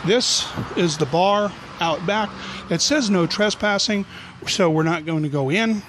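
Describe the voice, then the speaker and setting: Playful, mock-dramatic man in his forties, high for a man, handheld outdoor vlog with clear road noise behind. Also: a little slow, a bit bright. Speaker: vlog host. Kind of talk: jokey exploring vlog. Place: outdoors by a road, overcast and drizzly. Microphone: handheld camera at arm's length. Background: passing cars.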